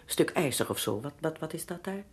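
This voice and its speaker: An actress playing a ruthless older matriarch in a radio drama, commanding and agitated, repeating herself in short bursts. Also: female voice, low-pitched, lively intonation, quick pace, a little hiss or hum. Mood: impatient, urgent. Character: ruthless older matriarch, imperious, obsessively destroying family papers.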